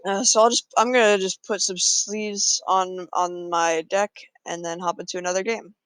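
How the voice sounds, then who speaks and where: Guy chatting over his card game, relaxed, dry close mic. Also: high voice, moderate pace, clear, resonant tone, dry recording, poor audio. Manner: relaxed, thinking aloud with fillers. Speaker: gameplay commentator. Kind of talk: casual card game commentary.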